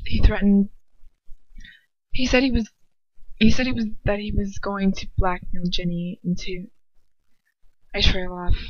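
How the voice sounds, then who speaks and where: A woman's voice: medium pitch, no room sound. Woman reading fiction aloud, dull recording. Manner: stumbling, repeats and corrects herself. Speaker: amateur fan fiction reader. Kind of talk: narrating a story in first person.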